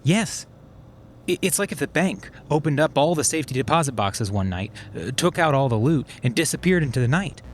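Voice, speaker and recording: An actor playing a sharp, methodical schemer who explains things conversationally in a naturalistic audio drama. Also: male voice, fairly high pitch, lively intonation, fairly quick, a little hiss or hum, close to an everyday voice. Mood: urgent. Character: sharp, methodical male schemer plotting revenge on a swindler.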